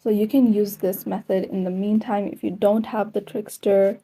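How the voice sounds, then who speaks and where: Dark, muffled-toned woman giving tutorial narration. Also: mid-range voice, flat delivery, normal speed. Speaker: tutorial host.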